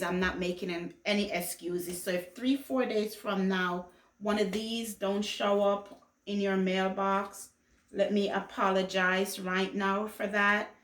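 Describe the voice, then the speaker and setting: A woman's voice: medium pitch, a bit bright. A woman from Jamaica who later moved to the US, chatting casually to viewers in a rambling, conversational way as a lifestyle vlogger. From Jamaica until age fourteen, then the US. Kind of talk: casual vlog chat to viewers. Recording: echoey room.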